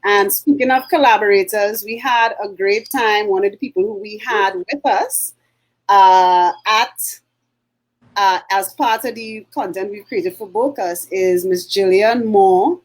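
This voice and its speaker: Middle-aged woman, Trinidadian accent, chatty co-host, plenty of ums, mid-pitched voice. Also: a little slow, rough recording. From Trinidad and Tobago. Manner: chatty, many fillers and throat clearing. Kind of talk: casual chat.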